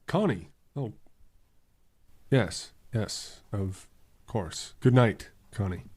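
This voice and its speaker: Actor as hesitant, mild gentleman with expressive pitch. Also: heavily acted. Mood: hurt, subdued. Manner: hesitant, polite, halting. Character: mild, awkward, courteous gentleman suitor. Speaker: radio drama actor. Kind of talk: old-time radio drama acting.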